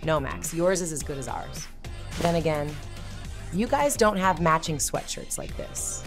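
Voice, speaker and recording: Woman acting a creaky, low-voiced young heroine leader. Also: lively intonation, a little slow. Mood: friendly. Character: Anne, confident, caring young woman leading a hero team. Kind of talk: cartoon comic dub acting. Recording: music playing under the voice.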